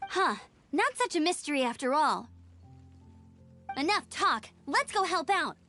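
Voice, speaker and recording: A woman voicing a calm, decisive young female adventurer in a game. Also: high voice, measured pace, full character voice. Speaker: game protagonist. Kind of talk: game voice acting. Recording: game audio with soft music.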